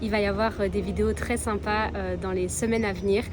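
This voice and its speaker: A woman's voice: mid-range voice, steady tone, fast talker, noisy background. A woman from Belgium, chatting casually to viewers as a travel vlogger. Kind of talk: casual vlog chat to viewers.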